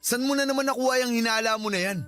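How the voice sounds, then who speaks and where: Quick-talking, agitated cheating husband. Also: male voice, very high voice, fairly bright tone, faint music behind. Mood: accusing. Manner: indignant, agitated. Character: cheating husband, agitated, fending off his sister-in-law. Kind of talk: Tagalog radio drama acting.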